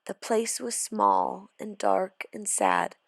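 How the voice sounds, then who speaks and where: A woman reading a children's storybook aloud as expressive scripted narration. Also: mid-range voice, takes it slowly, creaky, no room sound, studio quality. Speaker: storybook reader.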